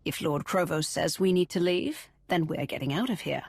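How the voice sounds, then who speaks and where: Husky, ruthless Sith warrior. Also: female voice, lowish voice, moderate pace, darkish tone, dry recording, studio quality. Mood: wary, decisive. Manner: clipped, commanding. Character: ruthless, decisive female Sith warrior leader. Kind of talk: video game cutscene acting.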